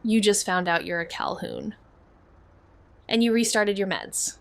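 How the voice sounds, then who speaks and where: A woman's voice: medium pitch, measured pace, dry recording. Actress as a loyal, level-headed young female investigator; frank, grounded delivery. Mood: steady. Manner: steady, protective, frank. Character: loyal young woman sleuth, calm, protective. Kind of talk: audio drama acting.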